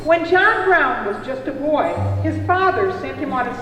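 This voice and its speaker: A woman playing a kindly motherly cafe keeper in a live puppet show, telling tales in a deliberate, expressive way. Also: high-pitched. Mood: earnest recounting. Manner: deliberate, expressive storytelling to children. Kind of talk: live storytelling puppet theatre. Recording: echoey hall from a distance, music behind.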